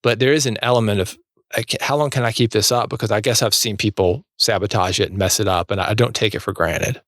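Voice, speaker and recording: A man's voice: on the low side, fast, fairly bright tone, no echo, clean studio sound. A man in his forties speaking casually and candidly as an interview guest, often questioning himself. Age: 40s. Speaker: podcast guest, entrepreneur.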